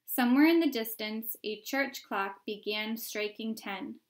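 A woman's voice: fairly high pitch, unhurried. A woman in her thirties reading a children's book aloud as narrator. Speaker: reader. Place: indoor room at home by a window.

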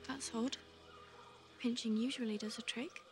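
A young woman with an English accent playing a dreamy, outspoken gentlewoman in a period fantasy film. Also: on the high side, fairly even intonation, moderate pace, music in the background, natural voice. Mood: earnest. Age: young adult.

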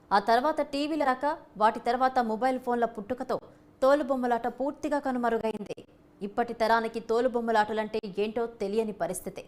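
A woman reading scripted narration for a documentary-style news feature. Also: on the high side.

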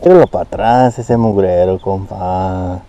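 A man's voice: low voice, moderate pace, dark tone. A man filming himself from a boat on a lake, teasing his friend and switching between English and Spanish as he reels in fish. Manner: joking, teasing, talks to the fish. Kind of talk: casual fishing banter mixing English and Spanish. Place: open boat on a lake, outdoors. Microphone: head-mounted action camera.

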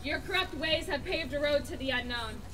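Very high-voiced young woman reciting, from afar. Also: normal speed, fairly bright tone. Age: young adult. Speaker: youth climate activist and spoken word poet. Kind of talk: spoken word poetry at a rally. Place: outdoor rally stage under a canopy. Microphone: stage microphone at a podium. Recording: distant outdoor PA, music behind.

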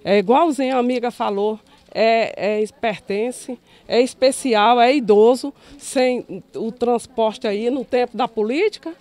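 A woman's voice: on the high side, measured pace, darkish tone. Indignant Brazilian woman outdoors at a protest. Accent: Brazilian Portuguese. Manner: indignant, stumbling, calls out officials. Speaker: resident at a protest. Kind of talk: street interview.

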